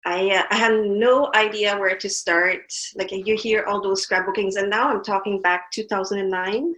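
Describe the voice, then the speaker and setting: A woman's voice: medium pitch, steady tone, normal speed, low-quality recording. A woman from Montreal whose first language is French, speaking English with a French-Canadian accent as a guest on an interview, telling stories in a chatty way. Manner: chatty, warm storytelling with fillers. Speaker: guest artist. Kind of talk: casual interview chat.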